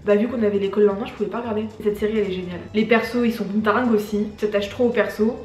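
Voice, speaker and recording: A woman's voice: mid-range voice, steady tone, racing, a little mellow, echoey room. A young woman YouTuber chatting casually to camera, slangy and full of swearing. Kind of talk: casual chat.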